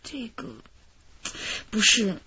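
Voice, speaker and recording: A frightened, high-voiced victim. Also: female voice, extremely slow, sharp tone, husky, heavily acted. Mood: subdued, unsure. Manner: hesitant, stammering. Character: frightened female kidnap victim, anxious and pleading. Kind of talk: radio drama acting. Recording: dull, lo-fi, noisy radio drama track.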